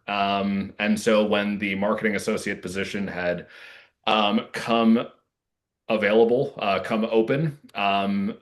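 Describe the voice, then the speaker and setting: An American man with a fairly low voice talks casually as an interview guest. His delivery is halting and self-effacing. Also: fairly bright tone. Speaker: guest, theater marketing associate and playwright.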